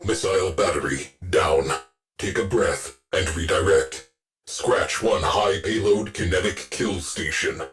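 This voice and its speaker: A man voicing a terse, stoic military shipboard AI in a video game, giving mission briefings in a clipped, deadpan manner. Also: low voice. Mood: dry, satisfied.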